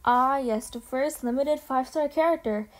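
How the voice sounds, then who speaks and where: A woman's voice: high-pitched, moderate pace. A young woman giving casual, slangy, emphatic opinion commentary as a voice-over. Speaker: video creator ranking game trailers. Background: music under the voice.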